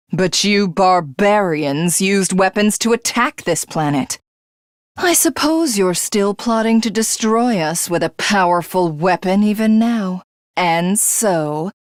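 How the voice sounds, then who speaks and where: Slow-talking, haughty villainess voiced by an actress. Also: female voice, mid-range voice, dry recording, studio quality, natural voice. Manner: pompous, formal, grandiose, condescending. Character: haughty female alien warlord craving godhood. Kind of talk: theatrical villain game voice acting.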